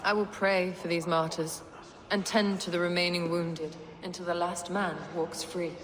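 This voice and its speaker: A woman playing a grieving, devout medieval nun in a video game, solemn and gentle. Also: lowish voice, little pitch movement, normal speed, crowd noise, lightly acted. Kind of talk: video game cutscene dialogue. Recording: long echo, clear ambient noise.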